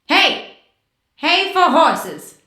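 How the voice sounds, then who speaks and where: Plucky young adventuress, high voice, lively swooping pitch, brisk, bossy, radio drama style, faint noise. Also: female voice, roomy sound, heavily acted. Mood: eager, brisk. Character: plucky, impatient young woman adventurer in 1930s mystery. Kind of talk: 1930s radio drama acting.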